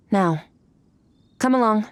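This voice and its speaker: A woman playing an aloof, powerful warrior in a video game, speaking in a cold, measured, matter-of-fact way. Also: mid-range voice, a little hiss or hum. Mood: cold, curt. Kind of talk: video game character voice acting.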